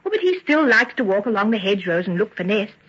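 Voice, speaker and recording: A woman's voice: medium pitch, big pitch swings, fast, breathy edge. Tender, lilting genteel young woman. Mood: wistful, fond. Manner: gentle, soothing, coaxing. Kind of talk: golden age radio drama acting. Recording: dull, muffled vintage radio recording.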